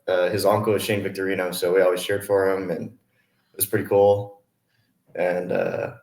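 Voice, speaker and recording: A man in his twenties from Hawaii, an easygoing college athlete chatting casually as an interview guest. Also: deep voice. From Hawaii, USA. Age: 20s. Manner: easygoing, mellow. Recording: roomy, echoing sound.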